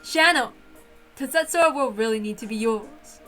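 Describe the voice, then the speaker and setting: A woman's voice: on the high side, normal speed, a bit bright, soft background music, natural voice. A woman voicing a grand, possessive witch in formal, theatrical speech, lonely and insistent, as in a visual novel reading. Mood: insistent, fond.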